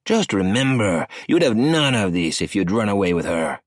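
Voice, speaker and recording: A sneering, arrogant cartel boss spits taunts in a husky, swinging voice, as a male narrator puts him on. The delivery is close and dry, with no room sound. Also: on the low side, very animated, moderate pace, no room sound.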